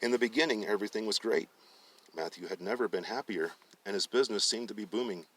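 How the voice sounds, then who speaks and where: A man's voice: on the low side, fast talker, a bit bright, recorded from a distance, poor audio. A man narrating fiction aloud as a storyteller, often stumbling and rereading phrases. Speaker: storyteller reading a book aloud.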